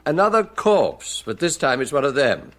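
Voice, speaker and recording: A British actor playing a shrewd, commanding chief inspector with dry sardonic manner in a radio drama. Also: male voice, a little high, sing-song, normal speed. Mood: dry, grim.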